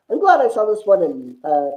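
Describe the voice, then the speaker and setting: A man's voice: sits high, huge pitch swings, moderate pace, warm tone, reverberant. A man chatting casually about films as a podcast panelist, over a muffled, thin connection. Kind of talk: casual film chat. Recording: muffled, thin phone-like line.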